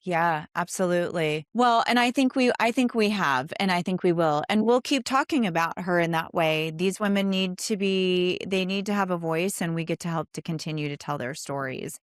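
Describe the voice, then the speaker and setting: Smooth, lowish-voiced woman podcast host. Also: normal speed, few pauses, no room sound, clean studio sound. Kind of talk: conversational interviewing.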